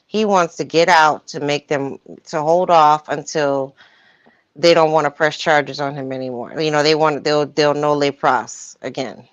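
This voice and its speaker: Low-voiced woman, dry sound. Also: steady tone, measured pace, no echo, muffled recording. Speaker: panelist on a commentary livestream. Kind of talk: casual chat. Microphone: video-call livestream.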